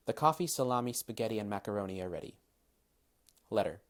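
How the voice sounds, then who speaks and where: A man in his thirties from Massachusetts with a mostly general American accent and slight British traces, reading word lists carefully and then answering interview questions in a relaxed, hedging way. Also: fairly low pitch, quick pace. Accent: Massachusetts, largely general American with slight British traces. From Massachusetts, USA. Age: 30s. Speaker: dialect coach, interviewee.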